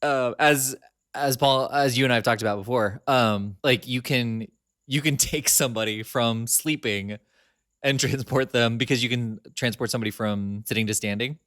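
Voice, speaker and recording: A man's voice: medium pitch, normal speed, no room sound, studio quality. A man co-hosting a podcast in casual chat, laughing often as he talks. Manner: laughs often, chatty and animated. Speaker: podcast co-host.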